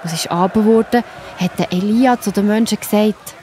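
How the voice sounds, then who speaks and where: A woman's voice: medium pitch, moderate pace, mellow, slight background noise. A woman with a Swiss accent narrating a children's Bible story, explaining simply and speaking directly to young listeners.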